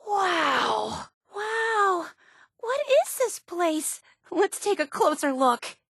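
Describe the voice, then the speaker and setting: An excitable, high-voiced superfan. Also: female voice, extremely slow, gravelly, dry recording, clean studio sound, full character voice. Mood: awestruck. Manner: gushing, earnest, excitable. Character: eager, obsessive young woman superfan of a soda brand. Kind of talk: video game character dialogue.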